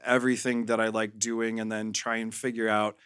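A man's voice: on the low side, little pitch movement, a little fast, a bit bright, smooth, dry recording, studio quality. A man chatting candidly and casually as a podcast guest, with a reflective, hedging way of talking.

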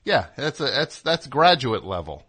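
A man hosting a radio comedy show with a bright, forceful voice. He rambles excitably, swinging from high, animated bursts down to low mutters. It is a loose, joking phone chat. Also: moderate pace, a little hiss or hum. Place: radio studio.

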